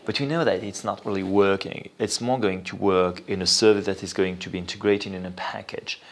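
Low-voiced Frenchman, halting interview talk. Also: steady tone. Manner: halting, thinking aloud with repeated "you know". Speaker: interview guest, venture capital investor. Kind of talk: spoken interview, explanatory talk.

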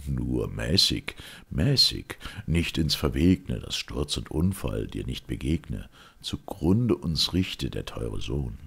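A solemn, cautioning father, played by a male reader in classical verse drama. His voice is very low and gravelly, and he speaks slowly and gravely. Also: sing-song, a bit bright, heavily acted. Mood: worried.

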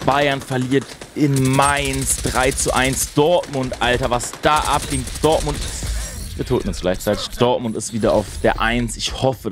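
A young man chats away as he plays, enthusiastic and easily sidetracked. His voice sits at an ordinary middle pitch, close and clear on a boom mic in a small home room. Faint game gunfire and mouse clicks sit low behind him. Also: slight background noise. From Berlin, Germany. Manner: chatty and enthusiastic, rambling asides. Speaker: gaming streamer. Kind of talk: casual chat while gaming. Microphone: studio mic on a boom arm, wearing headphones.

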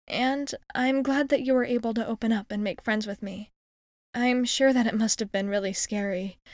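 A woman's voice: fairly high pitch, no room sound, clean studio sound, lightly acted. A woman playing a friendly, shy young alien crewmate in an ASMR roleplay, speaking hesitantly and earnestly in casual girlish phrasing.

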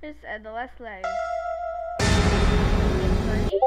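A woman doing playful amateur read-aloud dubbing of chat messages in a halting, exclamatory way. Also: on the high side, loud music behind the voice. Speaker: video creator voicing chat messages aloud.

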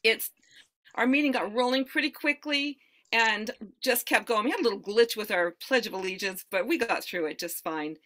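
A woman's voice: fairly high pitch, moderate pace, fairly bright tone. A woman serving as general evaluator, giving enthusiastic feedback on a club meeting and praising each speaker.

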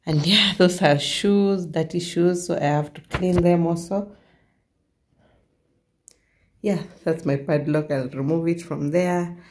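A young woman with a low, deep-set voice chats casually to her channel from her living room. She keeps it soft and hushed so she won't wake a sleeping baby. The sound bounces around the room from a phone mic, with faint household ambience underneath. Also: unhurried, noisy background. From Kenya. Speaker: vlogger. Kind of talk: casual home vlog. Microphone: phone camera close up, later set down across the room. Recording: roomy home echo.

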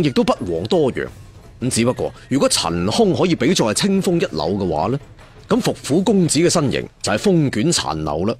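Husky, wildly animated male storyteller. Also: medium pitch. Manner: theatrical, traditional storyteller phrasing with rhetorical asides. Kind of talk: dramatic Cantonese audiobook storytelling.

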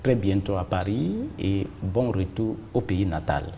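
A man's voice: low voice, unhurried, gravelly, warm tone. A man in his thirties with a Cameroonian accent, a television journalist asking formal questions with frequent fillers, recorded on a lapel mic in a formal room. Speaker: TV journalist interviewer. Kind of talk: formal broadcast interview. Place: formal reception room indoors.

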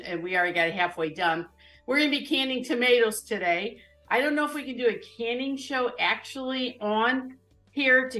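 Brief: woman in her 50s, home-kitchen cooking host, chatty, mid-pitched, light music underneath. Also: fairly bright tone, low-quality recording. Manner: chatty, explains as she goes. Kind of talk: casual live cooking demo chat.

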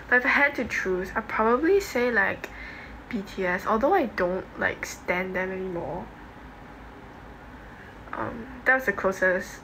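A woman's voice: medium pitch, creaky, bright, forceful tone. Young Singaporean woman, noisy phone recording. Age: young adult. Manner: informal, offhand, slangy. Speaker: livestream host. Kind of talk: casual livestream chat, reading and answering comments. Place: home bedroom, indoors. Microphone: phone at arm's length.

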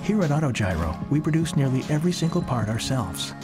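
A man reading a scripted promotional voice-over in a measured, deliberate way, pausing slowly between phrases. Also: medium pitch, very animated. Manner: measured, deliberate delivery with slow pauses. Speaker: corporate video narrator. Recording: voice-over under loud music.

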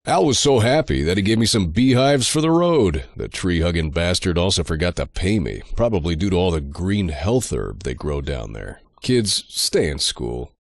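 A man playing a sardonic, foul-mouthed drifter antihero who narrates a video game in a deadpan, sarcastic way. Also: deep voice, moderate pace, creaky, hardly any pauses, no room sound, clean studio sound, heavily acted. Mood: dry sarcasm.

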